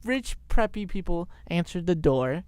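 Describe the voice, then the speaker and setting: A young man telling an improvised story in a halting, informal way. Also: high voice, expressive, a little mellow, clean tone, dry recording. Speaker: storyteller, show host.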